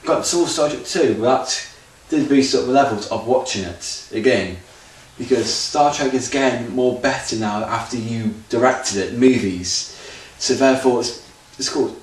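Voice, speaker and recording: A man's voice: mid-range voice, a little slow. A man talking off the cuff in an unscripted audition tape, rambling with loose grammar and restarts. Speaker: auditioning actor. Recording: very echoey room, far from mic, faint noise.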